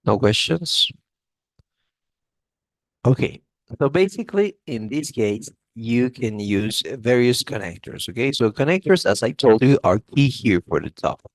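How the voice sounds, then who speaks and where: A man's voice: mid-range voice, expressive, unhurried, no room sound. A male English teacher giving a classroom grammar lesson, often checking in with "okay?" and restarting phrases.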